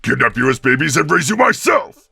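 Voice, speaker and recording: A man voicing a loud, hot-tempered monster villain in a fan-made game parody, with a growling, gravelly voice and a brash, blustering manner. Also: medium pitch, very animated, brisk, faint music behind, full character voice. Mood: scheming. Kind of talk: cartoon-like fan parody of video game characters.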